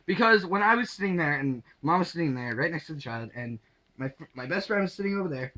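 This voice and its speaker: A young man telling a casual anecdote to camera in a halting, sweary way, acting out the dialogue as he goes. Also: fairly high pitch, animated, bright, forceful tone, poor audio. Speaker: storyteller.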